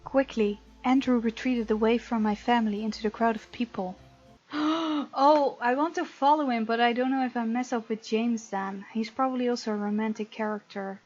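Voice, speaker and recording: Female let's player, fairly high voice, playful narrator-reactor; mildly muffled recording, light game music underneath. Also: steady tone, moderate pace. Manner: playful, reacts out loud to characters. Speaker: gaming video host. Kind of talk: let's play, reading game text aloud with casual reactions. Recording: slightly dull sound, music behind.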